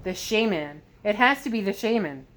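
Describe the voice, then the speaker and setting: A woman's voice: mid-range voice, expressive, moderate pace, fairly bright tone, close to an everyday voice. A woman voicing a greedy, sly, burly male hireling in a storybook, oily and mercenary. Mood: explaining. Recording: clear background noise.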